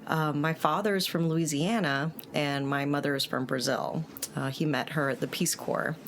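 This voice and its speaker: Low-voiced Puerto Rican woman, soft-spoken. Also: steady tone, normal speed, a little hiss or hum. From Puerto Rico. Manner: soft-spoken, many fillers. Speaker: guest psychologist. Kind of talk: casual interview.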